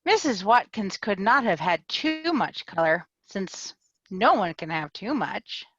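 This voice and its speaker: A woman's voice: medium pitch, big pitch swings, a little slow, fairly bright tone, slightly rough, dry recording, heavily acted. A woman reading a tipsy, lovesick young woman who contradicts insistently, heard over a dull, dry video-call line.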